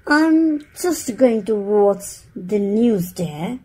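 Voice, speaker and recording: Storybook father elephant, played by a woman: henpecked, mild, resigned, lightly husky. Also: medium pitch, expressive, extremely slow, mellow, exaggerated voice.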